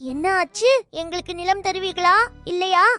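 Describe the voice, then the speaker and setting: A woman's voice: extremely high pitch, steady tone, moderate pace, sharp tone, faint music behind. A woman voicing a pompous boy who poses as a rich landlord in a children's cartoon, grand and demanding. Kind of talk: animated children's cartoon dub.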